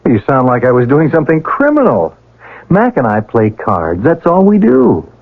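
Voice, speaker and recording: Cocky, swooping young rascal. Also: male voice, medium pitch, huge pitch swings, moderate pace. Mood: breezy, cocky. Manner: breezy, boastful. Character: cocky, reckless young card-sharp younger brother. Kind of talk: radio drama acting. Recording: tinny old radio broadcast.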